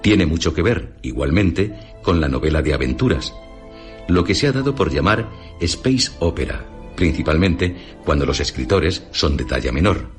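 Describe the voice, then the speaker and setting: A man with a Castilian Spanish accent narrating a scripted radio essay in a formal, didactic, deliberate manner. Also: sits low, animated, faint music behind.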